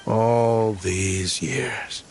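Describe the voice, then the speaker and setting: An actor playing a cold, vengeful former partner in a game cutscene, speaking slowly with quiet menace over faint music. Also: male voice, on the low side, steady tone, creaky, slight background noise, heavily acted. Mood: cold taunting. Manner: slow, measured, quietly menacing.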